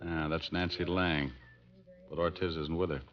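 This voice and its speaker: A man's voice: deep voice, little pitch movement, normal speed, close to an everyday voice. An American man playing a tough, wry private detective in old radio crime drama, with clipped, dry delivery. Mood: dry, steady. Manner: clipped, hard-boiled, dry. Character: tough, wry male private detective narrating his case. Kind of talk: 1940s-50s radio crime drama acting and narration. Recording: dull old radio recording with music.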